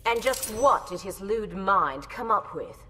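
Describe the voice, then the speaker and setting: A woman's voice: medium pitch, expressive, a little slow, sharp tone. A woman playing a confident, taunting female fighter in a video game, with an arch, mocking manner. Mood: haughty. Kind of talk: video game character dialogue. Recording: long reverb, faint noise and clicks.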